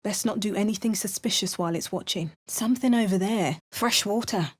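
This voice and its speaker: A woman's voice: mid-range voice, brisk, slightly rough, no echo, studio quality. A woman voicing an adventurer's lines in a video game, with wry, understated asides and narration. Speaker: video game player character.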